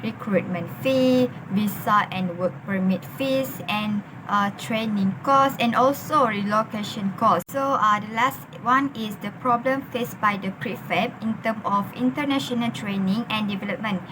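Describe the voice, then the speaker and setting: A young Malaysian woman, a university student, giving a class presentation in a halting way, with many fillers. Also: medium pitch, background noise. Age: young adult.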